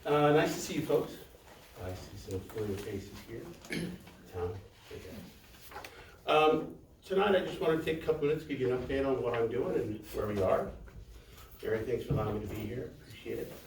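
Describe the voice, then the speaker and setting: A man's voice: mid-range voice, animated, moderate pace, long pauses, slight background noise. A man giving a friendly update to neighbors as guest speaker at a community meeting. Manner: friendly, greets people by name. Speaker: guest speaker, winery owner. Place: community center meeting hall. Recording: echoey hall, far from the mic.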